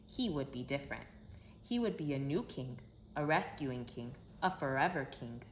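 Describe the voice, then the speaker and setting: A woman reading a children's story aloud in an expressive, emphatic storytelling manner. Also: very deep voice, moderate pace. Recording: roomy echo with background hiss.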